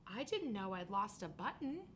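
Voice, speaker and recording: A woman reading the part of a small, innocent toy bear full of wonder, speaking in a slow, wondering way. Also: medium pitch, very animated, slight background noise, close to an everyday voice. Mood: quietly hopeful.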